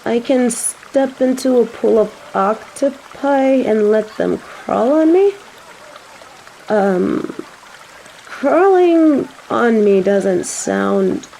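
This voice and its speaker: A woman's voice: a little high, animated, drawn-out words, creaky, dark tone, a little hiss or hum, heavily acted, poor audio. A woman voicing a snobby but secretly sweet young angel who stammers and gets flustered, in a romantic audio roleplay. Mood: shy wonder. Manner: stammering, flustered, haughty when embarrassed.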